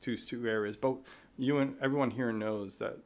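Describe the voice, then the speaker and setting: Man testifying at a hearing, mid-pitched, conversational, restarts with repeated words. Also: fairly even intonation, moderate pace. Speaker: tourism official testifying.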